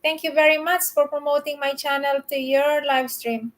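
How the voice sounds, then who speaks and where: A woman's voice: sits high, level tone, normal speed. A woman from the Philippines, hosting a casual live stream, chatting and greeting viewers by name.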